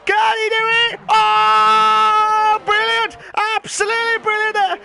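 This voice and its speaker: A man hosting and commentating an online racing league, his voice hoarse and nearly gone, talking in an excitable, chatty way. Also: very high-pitched, slow, slight background noise. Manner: excitable, shouting during qualifying, then calm chatty standings rundown. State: hoarse, lost voice. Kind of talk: live esports race commentary.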